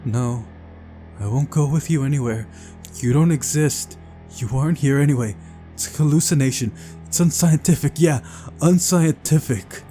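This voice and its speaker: A hysterical young man from a visual novel, acted out by a male reader, pitched well above a normal talking voice. He is agitated and stubborn, repeating himself as if he has lost his grip, with faint music behind. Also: moderate pace, mellow, close to an everyday voice. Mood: hysterical denial. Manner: stammering, agitated, repetitive. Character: hysterical, unhinged young man in deranged denial.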